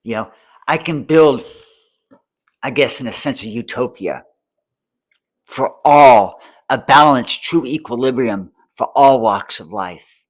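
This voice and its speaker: A man in his mid-forties at a middle pitch talks straight to the viewer with earnest resolve. He rambles and repeats himself, stumbling over restarts. He keeps checking whether the listener is following along. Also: slow pace, long pauses. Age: 40s. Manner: rambling and repetitive, many restarts; earnest and determined. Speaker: vlogger and homelessness advocate. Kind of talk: earnest monologue to camera. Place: Seattle.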